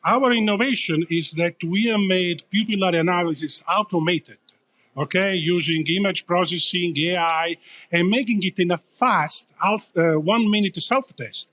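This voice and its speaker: A man's voice: high-pitched, normal speed, a bit bright. A man with an Italian accent, explaining his company as a founder being interviewed, in an emphatic, explanatory way. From Italy.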